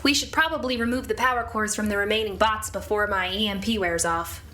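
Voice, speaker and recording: A woman's voice: medium pitch, fairly quick, pushed and bright, a little hiss or hum. A woman playing a tabletop game, talking casually in character with her teammates.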